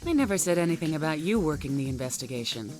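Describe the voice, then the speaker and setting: A brisk, authoritative female cyborg officer in an anime game. She talks fast, sceptical and dry, over soft music. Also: deep voice, sing-song. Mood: brisk, dismissive. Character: commanding, sceptical adult female cyborg squad leader. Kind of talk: English anime game dub acting.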